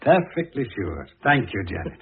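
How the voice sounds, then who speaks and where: A hot-tempered sly husband in an old radio play, very husky, thin muffled recording. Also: male voice, lowish voice, big pitch swings, normal speed, heavily acted. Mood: smooth, evasive. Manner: blustering, indignant. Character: irascible, scheming, jealous husband, blustering and sly. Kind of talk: old-time radio drama acting.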